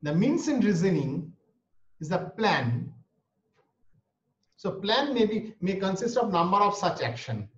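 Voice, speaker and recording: A man's voice: high-pitched, sing-song, unhurried, pauses often, fairly bright tone. A man with an Indian English accent, a native Bengali speaker, giving an online lecture and explaining each point twice. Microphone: webcam or laptop mic on a video call. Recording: roomy, dull video-call audio.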